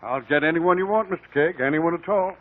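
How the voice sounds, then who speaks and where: An actor playing an obliging, folksy small-town sheriff in a 1950s radio drama. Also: male voice, fairly high pitch, fairly quick, close to an everyday voice. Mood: deferential, plain. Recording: tinny lo-fi 1950 radio broadcast.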